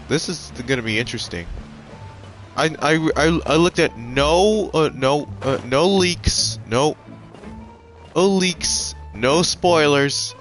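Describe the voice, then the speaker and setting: Slow-talking man commentating a game. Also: a little high, a bit bright. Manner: halting, repeats words. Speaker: game streamer. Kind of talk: casual gameplay commentary. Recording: faint game music behind.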